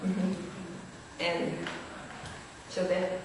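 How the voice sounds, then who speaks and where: Older woman, casual reminiscence, fry in the voice, distant mic with clear noise. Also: lowish voice, completely flat delivery, drawn-out words. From rural Missouri, United States. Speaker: former pupil and local historian sharing memories. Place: large echoing indoor room, recorded from a distance. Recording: very echoey hall, distant mic, noisy.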